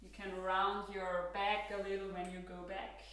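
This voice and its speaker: A woman with an Austrian accent, a native German speaker, leading a guided yoga class with calm, gentle instructions. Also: medium pitch, normal speed, far from the mic, a little hiss or hum. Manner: calm, gentle cueing with soft laughs. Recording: roomy echo, from across the room.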